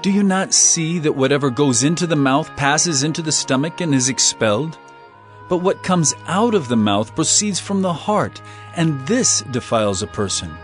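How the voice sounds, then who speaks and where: Male actor as authoritative, reproving teacher for scripture drama: higher register, very animated, declamatory. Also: normal speed, faint music behind, natural voice. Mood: earnest teaching.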